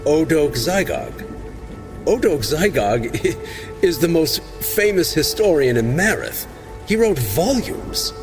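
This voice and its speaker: A man voicing a learned, dry and grim cleric who explains things deliberately in a dark fantasy audio drama. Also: on the high side, big pitch swings, pitched above the performer's own voice, heavily acted. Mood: earnest. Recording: music under the voice.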